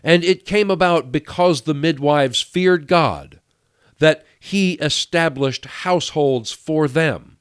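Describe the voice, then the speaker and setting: A man reading scripture aloud in a solemn, measured way, pausing deliberately between phrases. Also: a little high, smooth, no room sound, clean studio sound. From United States. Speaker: Bible reader.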